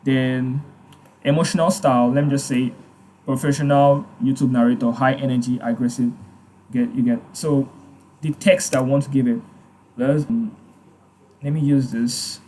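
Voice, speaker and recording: Relaxed man walking through a tutorial. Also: mid-range voice, normal speed, pauses often, slight background noise. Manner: relaxed, repeats points, fillers like "like" and "um". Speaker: tutorial host. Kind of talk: screen-recorded software tutorial.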